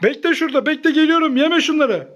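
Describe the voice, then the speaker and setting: A woman's voice: high-pitched, animated, quick pace, muffled recording. A woman hosting a children's play vlog, talking casually to a child in short, repeated, exclamatory phrases.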